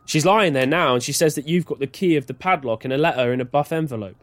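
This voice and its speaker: An actor playing a working-class army orderly in a comic radio play, deferential, hesitant and colloquial, always saying sir. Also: male voice, on the high side, quick pace, a bit bright, natural voice. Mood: deferential, matter-of-fact.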